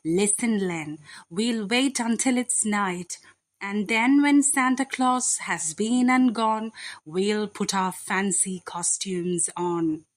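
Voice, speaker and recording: A woman's voice: mid-range voice, slow, lightly acted. A woman voicing a big, crafty male burglar who turns cowardly, in a theatrical storybook read-aloud. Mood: scheming.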